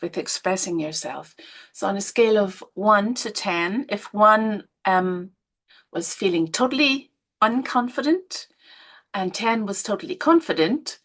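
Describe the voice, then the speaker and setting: A middle-aged woman with an Irish accent, hosting a live online webinar and encouraging participants with gentle, soft-spoken asides. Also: medium pitch, vocal fry. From Ireland. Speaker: webinar host and women's coach.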